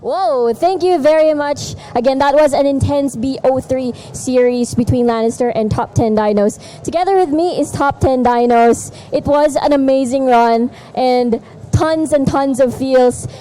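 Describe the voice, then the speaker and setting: A high-voiced woman interviewing players on an esports broadcast, upbeat and chatty. She trips over words now and then and laughs them off. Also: measured pace, hardly any pauses, mellow, slight background noise, poor audio. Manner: upbeat, with small verbal stumbles. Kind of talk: post-match interview hosting.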